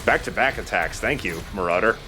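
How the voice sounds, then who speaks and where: Guy with a kinda low voice chatting thru a shooter playthrough, faint music under him. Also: expressive, a little fast, rough recording. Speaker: let's play host playing a shooter game. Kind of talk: casual gameplay commentary.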